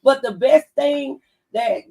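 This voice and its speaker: A woman's voice: high-pitched, slow pace, warm tone. Woman speaking a bit far from the mic. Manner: folksy, preachy, gives advice through plain analogies. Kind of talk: casual radio talk-show chat. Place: radio studio.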